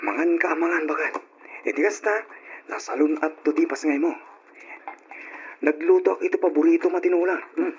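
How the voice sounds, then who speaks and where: Actor as high-voiced insistent suitor, old radio drama. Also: male voice, normal speed, pauses often, slight background noise, natural voice. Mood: doting. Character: doting, insistent bar customer turned eager husband. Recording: dull lo-fi old radio recording.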